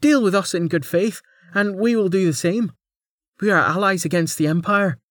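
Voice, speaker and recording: Guy putting on a young woman commander, way higher, earnest and urgent. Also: moderate pace, fairly bright tone, no echo, clean studio sound. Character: female ship commander, earnest and bold.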